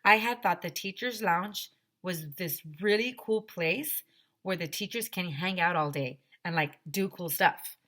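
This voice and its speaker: A woman's voice: fairly low pitch, a little slow, a bit bright. A woman teacher reading a children's chapter book aloud expressively and addressing the children directly with emphatic repetition.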